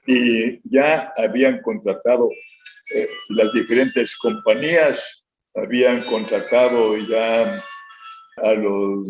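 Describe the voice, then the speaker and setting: A man recalls the past slowly and haltingly, with many pauses and fillers. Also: lowish voice. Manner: hesitant, with many fillers and restarts. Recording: roomy, muffled archive audio with faint music.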